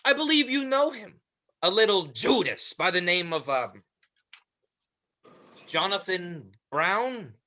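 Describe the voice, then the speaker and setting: A performer plays an ominous, mocking demon for a stage play. The voice is bright and swings widely in pitch. It draws out its mock-polite taunts at a leisurely, theatrical pace. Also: sing-song, takes it slowly, long pauses, heavily acted. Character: smooth, menacing, theatrical demon Mister Woozle, mocking and ominous. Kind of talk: livestreamed stage play reading.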